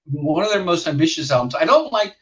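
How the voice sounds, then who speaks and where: A man's voice: a little high, lively intonation, fairly quick. Man, 60s, from the Netherlands, musician guest, rambling storyteller, muffled lo-fi audio. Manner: rambling, with many restarts and fillers. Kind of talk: casual interview storytelling.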